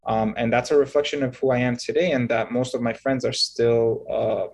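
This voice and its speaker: A man in his thirties, from Egypt and raised in Canada, telling his story as an interview guest in a halting, explanatory way, often checking in with "right?". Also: mid-range voice, fairly even intonation, vocal fry, a little mellow. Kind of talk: conversational storytelling.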